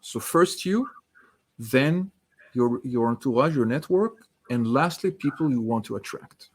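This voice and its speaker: A man from France, French his first language, answering interview questions in a measured, structured way. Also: mid-range voice, lively intonation, husky. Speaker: author and guest.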